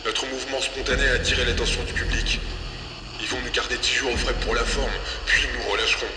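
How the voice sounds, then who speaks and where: A man's voice: mid-range voice, flat delivery, fast, background noise, natural voice. Casting: male actor as surly, curt militant detainee, off-mic in a reverberant space. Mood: surly, confident. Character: distrustful, surly, self-assured male extremist prisoner. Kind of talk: French audio fiction acting. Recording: distant mic, long echo, music underneath.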